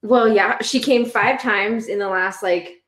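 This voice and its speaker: A woman's voice: medium pitch, a bit bright. Laughing woman in her thirties, echoey sound. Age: 30s. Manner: laughs often, quick short interjections, playful. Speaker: podcast co-host and youth weightlifting coach. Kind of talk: casual podcast chat. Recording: roomy echo.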